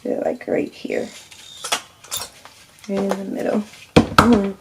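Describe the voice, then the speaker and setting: A woman's voice: medium pitch, expressive, measured pace, creaky. A woman with a slightly nasal, stuffy voice and a scratchy throat, chatting through a crafting tutorial step by step and asking "right?" as she goes. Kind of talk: casual step-by-step crafting demo.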